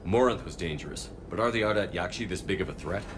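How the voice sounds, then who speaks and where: An actor playing a firm, persuasive military commander in a video game. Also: male voice, low-pitched, fast, noisy background, close to an everyday voice. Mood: questioning, serious.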